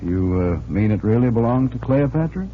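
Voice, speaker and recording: A man's voice: mid-range voice, lively intonation, moderate pace, lightly acted. An actor playing a breezy, curious man who banters eagerly with his friends, in 1940s radio style. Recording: dull, noisy 1940s radio recording.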